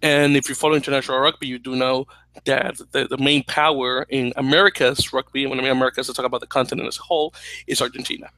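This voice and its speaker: A man's voice: mid-range voice, little pitch movement, quick pace, sharp tone, no room sound, poor audio. A young Dominican man co-hosting a podcast, explaining at length with an opinionated, chatty manner, on a headset mic in a small room at home. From Dominican Republic. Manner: explanatory, opinionated, restarts phrases. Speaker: podcast co-host. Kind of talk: casual chat.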